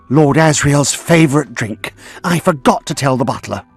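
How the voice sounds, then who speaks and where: A man's voice: a little high, expressive, measured pace, husky, soft background music, exaggerated voice. A man playing a forgetful, fretful servant in a video game, dithering as he talks. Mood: flustered. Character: flustered, forgetful male servant.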